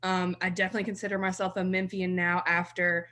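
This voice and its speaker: A woman's voice: fairly low pitch, little pitch movement, quick pace, sharp tone. A woman in her thirties from South Mississippi, a blog writer talking as a podcast guest in a chatty way and laughing easily. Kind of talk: podcast interview.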